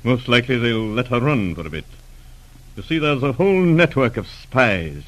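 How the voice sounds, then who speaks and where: Shrewd spy-catcher boss, medium pitch man, authoritative, deliberate, musing, 40s radio drama. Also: big pitch swings, fairly bright tone. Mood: calculating. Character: authoritative, shrewd male counter-espionage chief, measured. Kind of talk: 1940s radio drama acting.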